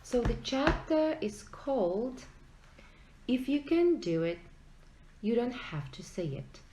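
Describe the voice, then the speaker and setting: A woman's voice: fairly high pitch, big pitch swings, slow pace, warm tone, a little hiss or hum. A woman reading aloud from a self-help book in an emphatic, conversational way. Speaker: book reader at a school read-aloud event.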